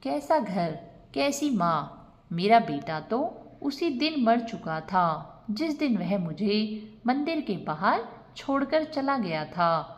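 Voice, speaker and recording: A woman's voice: medium pitch, sing-song, slow pace. A woman voicing a wounded, unforgiving elderly mother, stern and bitter, in a moral story. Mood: bitter, hurt. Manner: bitter rhetorical questions, stern.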